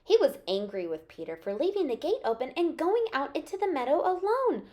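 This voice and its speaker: A woman's voice: sits high, sing-song, brisk, darkish tone. A woman reading a children's story expressively, as a teacher would to young listeners.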